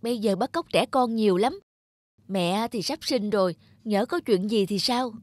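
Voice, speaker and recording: A woman acting an anxious, strict pregnant mother in an animated moral tale, with an urgent, expressive delivery. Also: medium pitch, moderate pace, no room sound, studio quality, natural voice. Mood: stern.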